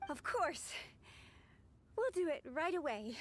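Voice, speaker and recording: Overworked young game clerk: extremely high, unhurried, pleading, faint music behind. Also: female voice, very animated, full character voice. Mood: eager. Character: overworked, eager young female office clerk.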